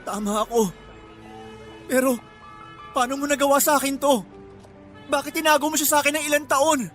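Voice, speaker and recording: High-voiced, earnest Filipino young father. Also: steady tone, normal speed, fairly bright tone, soft background music. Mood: hurt, reproachful. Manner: emotional, insistent. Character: earnest young father pleading to claim his secret child. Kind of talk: radio melodrama acting.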